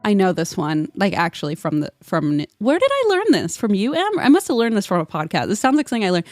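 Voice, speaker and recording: A woman with a mid-pitched voice, recorded close and dry, chats casually as a podcast panelist. She jokes along and slips into little acted-out quotes as she tells a story. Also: huge pitch swings, quick pace, no room sound. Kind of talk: casual comic chat among friends.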